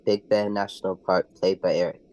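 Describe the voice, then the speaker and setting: A man's voice: low-pitched, flat delivery, brisk, a little mellow, natural voice. A man reading scripted narration in an amateur radio play. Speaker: narrator and performer.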